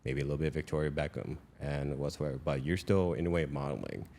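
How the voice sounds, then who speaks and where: A young man from Malaysia with a very deep, low voice, hosting a podcast. He talks in a loose, rambling way, often restarting his sentences mid-thought. The chat feels easygoing and unscripted. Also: fast, gravelly, warm tone. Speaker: podcast host. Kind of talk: casual interview chat.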